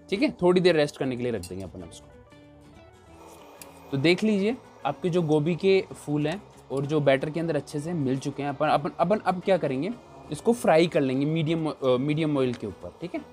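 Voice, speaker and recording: A man's voice: on the high side, darkish tone. A man talking through a recipe, chatty and repeating himself as he shows each step. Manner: chatty, repeats "look here" often. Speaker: cooking show chef. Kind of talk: casual cooking demonstration.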